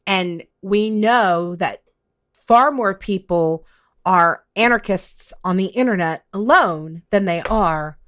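A woman's voice: medium pitch, takes it slowly, fairly bright tone. A woman in her late forties talking casually but emphatically as a co-host in a podcast discussion. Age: late 40s. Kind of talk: casual conversation on politics.

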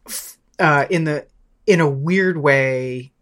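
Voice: mid-range voice, slow pace, a bit bright. Thoughtful, hesitant podcast talker. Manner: hesitant, thinking aloud with self-corrections. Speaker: podcast discussion participant. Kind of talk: casual reflective discussion.

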